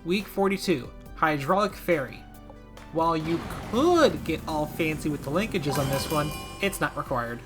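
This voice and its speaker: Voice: medium pitch, expressive, moderate pace, music in the background. A video game commentator narrating a scripted gaming video with wry, playful asides.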